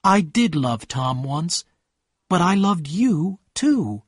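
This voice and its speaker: A man's voice: fairly high pitch, big pitch swings, measured pace, breathy edge, no room sound, clean studio sound, voice pushed up, heavily acted. A man voicing an unhappy, pleading young wife. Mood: unhappy, tearful. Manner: pleading, plaintive. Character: unhappy, pleading young married woman, torn in love.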